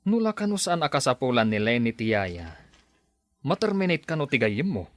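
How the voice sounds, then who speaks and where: A man's voice: mid-range voice, very animated, moderate pace, dry recording, close to an everyday voice. A man acting in a radio drama as a sensible, questioning boss and loyal friend, speaking expressively. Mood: concerned.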